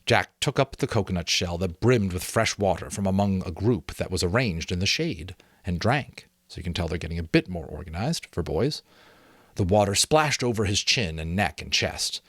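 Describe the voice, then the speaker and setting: Male storyteller for dramatic audiobook narration: low register, lively delivery, dry recording. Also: normal speed, husky, no echo. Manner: expressive, theatrical storytelling. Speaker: amateur narrator reading a novel aloud.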